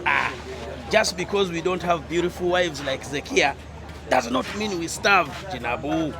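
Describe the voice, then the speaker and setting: An actor in a radio drama playing a teasing, cocky village domino player with a playful, boastful manner. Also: male voice, on the high side, lively intonation, unhurried, creaky, crowd noise.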